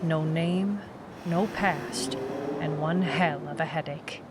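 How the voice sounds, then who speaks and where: A woman's voice: low-pitched, takes it slowly, very noisy. A woman playing a wry, world-weary, tough private eye, narrating a hardboiled noir audio drama. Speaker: lead narrator.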